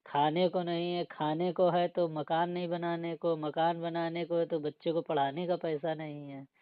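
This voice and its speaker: A man's voice: fairly high pitch, flat delivery, moderate pace, clear, resonant tone, dry recording, close to an everyday voice. A humble security guard from a village played by a male actor talks in plain rustic phrases. He is deferential and hesitant, chatting as if on a phone call. The sound is dull and very dry. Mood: earnest, resigned. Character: humble married village man working as city security guard. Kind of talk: staged casual phone conversation.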